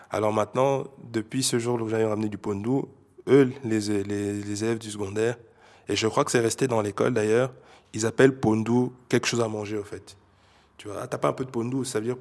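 Belgian man, low flat delivery, halting casual explainer who switches languages. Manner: hesitant, many fillers, switches between French and Dutch. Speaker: interviewee.

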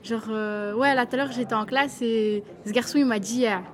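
A student woman chatting casually about her slang, lively and laughing often. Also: on the high side, moderate pace. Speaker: student interviewee. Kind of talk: casual chat. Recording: crowd chatter behind.

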